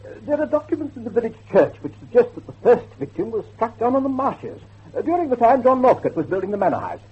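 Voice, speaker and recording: An actor playing an earnest, excitable scholar of werewolves, professorial and halting, with a husky voice in a horror drama. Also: male voice, extremely high pitch, phone-quality sound, exaggerated voice. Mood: lecturing. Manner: professorial, hesitant, halting emphasis. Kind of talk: dramatic horror dialogue acting.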